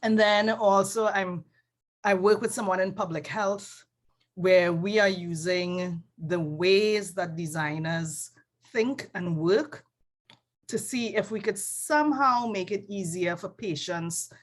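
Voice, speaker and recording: A woman with a Trinidadian accent, a design professor speaking in a casual panel conversation, thoughtful and hesitant, with many pauses and self-corrections over a video call. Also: mid-range voice. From Trinidad and Tobago.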